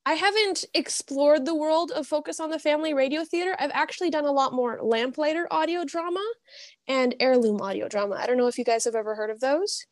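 A woman's voice: sits high, fairly quick, no room sound, clean studio sound. A woman guest on a podcast, talking casually and enthusiastically. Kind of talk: casual chat.

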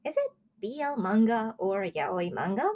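A woman in her early twenties from Japan, hosting a casual solo review show in a chatty, excitable way. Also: medium pitch, unhurried. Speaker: host of a manga review show.

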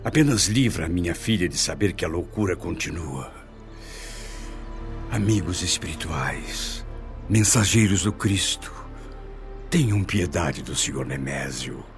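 Deep-voiced, devout, worried father. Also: lively intonation, a little slow. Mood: troubled. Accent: Brazilian. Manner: earnest, solemn, pleading. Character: compassionate, devout, worried middle-aged father. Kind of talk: radio soap opera acting. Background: music.

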